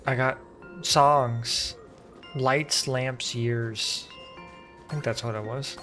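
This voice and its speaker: Slow-paced, playful woman streaming a game. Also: a bass voice, steady tone, fairly bright tone, soft background music. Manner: playful, jokey, quick to giggle. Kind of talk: casual reacting to a game playthrough.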